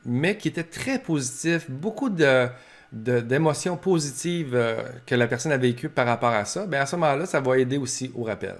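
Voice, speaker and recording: Québécois man explaining in an informal way. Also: mid-range voice. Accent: Québécois French. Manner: explanatory, colloquial, acts out short examples. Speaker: professor giving advice to family caregivers. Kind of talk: informal instructional talk.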